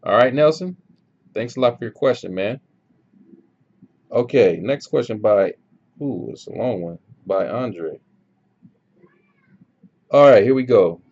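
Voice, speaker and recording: A man's voice: medium pitch, lively intonation, measured pace, pauses often, a little mellow. A man hosting a language-learning channel, answering viewer questions in an easygoing, unscripted way and thinking aloud as he goes, filmed on his own camera. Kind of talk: casual unscripted chat. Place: filming himself on camera at home. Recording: dull lo-fi recording.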